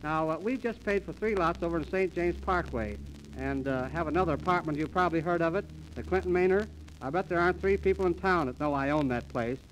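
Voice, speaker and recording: A wealthy, self-important man from a 1930s radio comedy, acted by a male comedian. His voice sits rather high, and he brags and brushes people off curtly. The sound is that of a restored old transcription disc. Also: fast, slight background noise, close to an everyday voice, poor audio. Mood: boastful, impatient. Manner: curt and dismissive. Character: brusque boastful wealthy man, Mister Guttenheimer.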